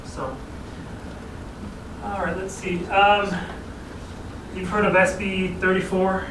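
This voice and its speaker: Man, planner briefing a council, easygoing, explanatory, echoey room, distant mic, steady background noise. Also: high voice, slow pace. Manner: explanatory, easygoing, chuckles at own asides. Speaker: regional transportation planning staff presenter. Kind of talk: informal briefing to a city council. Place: city council chamber.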